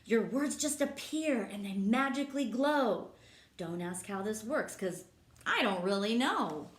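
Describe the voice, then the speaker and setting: A woman's voice: medium pitch, animated, a little slow, a little hiss or hum, lightly acted. A woman voicing an imaginative young boy who describes his dream school in bouncy, sing-song rhymes. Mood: excited. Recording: echoey room.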